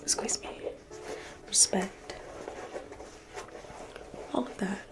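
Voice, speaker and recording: A woman whispering softly and reassuringly as a private museum guide in an ASMR roleplay. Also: very slow. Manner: soft whispering, intimate and reassuring.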